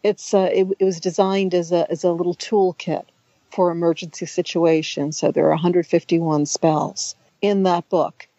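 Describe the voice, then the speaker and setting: A middle-aged woman, a native Hungarian speaker raised in the United States, talking about her writing in a relaxed, chatty interview with many restarts and asides. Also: on the low side, moderate pace, dry recording, highs cut off. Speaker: author and guest.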